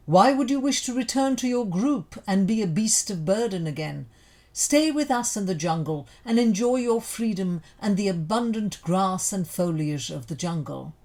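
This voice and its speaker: A woman's voice: medium pitch, lively intonation, moderate pace, a little mellow. A woman voicing a noble, hospitable male lion leader in a stately, formal, earnest way for a storybook. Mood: welcoming.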